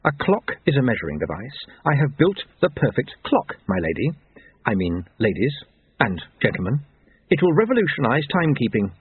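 A man voicing a fussy, excitable, unhinged male clockmaker in a fantasy audiobook. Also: medium pitch, moderate pace, husky, dry recording. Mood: forced calm. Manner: precise, excitable, over-rational. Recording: thin phone-like band.

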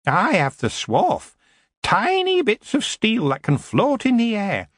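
Defiant goblin, cheeky and ungrammatical, played by a man, pitched up and springy. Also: wildly swooping pitch, slow, fairly bright tone, no room sound, clean studio sound, exaggerated voice. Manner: broken grammar, cocky, mocking. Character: cheeky stocky male goblin, defiant and proud.

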